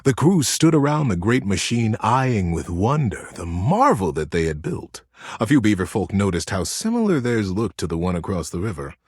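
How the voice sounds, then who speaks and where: A man playing a gentle, soothing teddy bear who narrates bedtime stories expressively in a game. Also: on the low side, big pitch swings, normal speed, slightly rough, dry recording, clean studio sound. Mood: storytelling.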